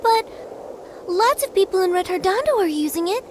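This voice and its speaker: Gentle young girl, extremely high pitch, earnest, game cutscene, slight background noise. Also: expressive, moderate pace. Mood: earnest, concerned. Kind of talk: video game cutscene acting.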